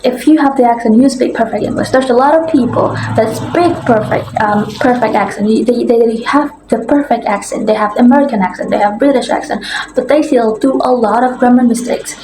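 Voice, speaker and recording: A young woman gives an informal, earnest explainer to camera, haltingly repeating and rephrasing her words. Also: a little high. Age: young adult. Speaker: language-learning content creator. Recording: echoey room with faint background noise.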